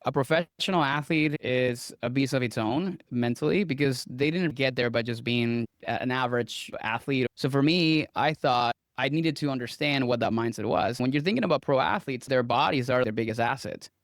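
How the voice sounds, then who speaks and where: A man's voice: medium pitch, moderate pace, hardly any pauses, no echo. An earnest man answers interview questions like a storyteller. He sometimes repeats a word as he speaks. His voice is mid-range, and the sound is dry and close to the mic. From Venezuela. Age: 30s. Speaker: guest dietitian.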